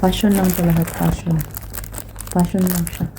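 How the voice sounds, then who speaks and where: A young Filipino woman talking casually through an unboxing, halting with many fillers and switching between Tagalog and English. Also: on the low side, little pitch movement, noisy background. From Philippines. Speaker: vlogger.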